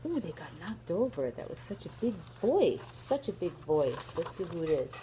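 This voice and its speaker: A woman's voice: on the low side, big pitch swings, moderate pace, dark tone, low-quality recording. A woman reading picture books aloud to young children, adding chatty asides as she goes. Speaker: storybook reader for young children. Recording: clear background noise.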